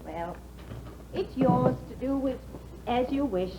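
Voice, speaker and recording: Brief: teasing young bride, bright and bouncy, dull 1950s broadcast sound. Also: female voice, high-pitched, expressive, takes it slowly, heavy background noise, full character voice. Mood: playful.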